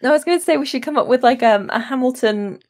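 A woman in a casual cast commentary, talking informally and haltingly as she thinks aloud. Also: sits high. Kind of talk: casual group chat. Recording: music playing behind the voice.

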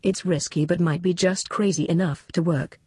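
A text-to-speech female voice playing a sardonic disembodied computer moderator, deadpan in scripted comedy dialogue. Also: lowish voice, moderate pace, breathy edge, dry recording, clean studio sound. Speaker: moderator and sidekick.

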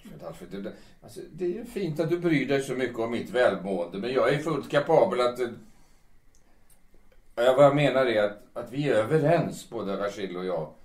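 A man's voice: medium pitch, animated, a little mellow, natural voice. A man playing an earnest, lovestruck middle-aged editor in an audio drama, speaking in formal, hesitant phrases. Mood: defensive. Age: late 30s. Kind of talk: audio drama dialogue in Swedish. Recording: echoey room.

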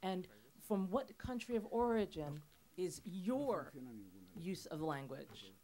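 A woman's voice: fairly low pitch, animated, slow, mellow. A woman with a handheld mic hosting an event for students in an auditorium, giving the audience friendly, organized instructions.

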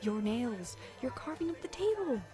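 A woman's voice: on the high side, big pitch swings, moderate pace. A woman playing a brash, bossy adventurer with a blunt, teasing manner. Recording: loud music under the voice.

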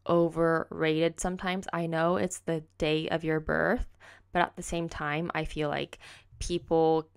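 A woman's voice: deep voice, level tone, unhurried, creaky, clear, resonant tone. A young woman, hosting a casual solo podcast at home on a close desk mic, rambling and correcting herself, saying "like" constantly. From raised in the United States. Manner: chatty, rambling, heavy use of "like", self-correcting. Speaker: podcast host. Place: home room with kitchen behind, indoors. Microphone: silver desk condenser mic, close.

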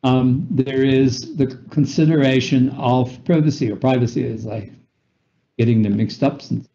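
Older North American man, mellow voice, webinar lecturer, dull video-call audio, explains with ums and restarts. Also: medium pitch, fairly even intonation, moderate pace. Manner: explanatory, frequent fillers and self-corrections. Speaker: webinar presenter. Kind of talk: lecture with slides.

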